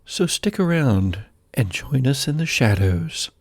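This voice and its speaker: An American man narrating a tabletop horror game as game master, telling it in a dramatic, deliberate way with trailing pauses. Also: medium pitch, very animated. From United States. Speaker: game master and podcast host.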